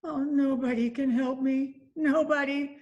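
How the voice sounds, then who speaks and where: Woman acting a high, slow, despairing old lady. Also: fairly even intonation, a little mellow, highs cut off. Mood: weary despair. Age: older. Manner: plaintive, pleading. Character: despairing, weary old street-vendor woman. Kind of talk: old-time radio drama acting.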